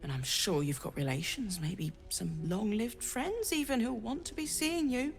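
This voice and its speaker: A woman voicing a kindly, earnest healer in a fantasy game, gentle and plain-spoken. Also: on the high side, lively intonation, normal speed, vocal fry, soft background music. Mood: soothing. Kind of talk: dramatic video game acting.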